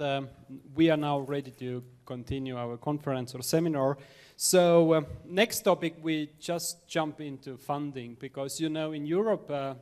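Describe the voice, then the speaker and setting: A man moderating a seminar, introducing the next speaker haltingly with many fillers. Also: medium pitch, a little mellow. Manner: hesitant, many fillers, non-native phrasing.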